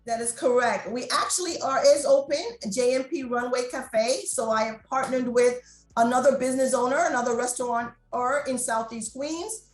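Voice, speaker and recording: A high-pitched middle-aged woman from Jamaica chats freely about her businesses, laughing easily as she talks. Her voice rings in an echoey room while faint music plays underneath. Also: moderate pace. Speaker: restaurant owner and guest. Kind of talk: interview conversation.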